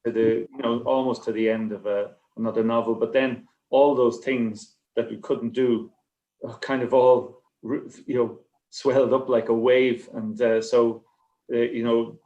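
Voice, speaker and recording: A man, a writer on a panel, talking casually and reflectively in a halting, rambling, understated way. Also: mid-range voice, flat delivery, darkish tone. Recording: slightly dull, lo-fi connection.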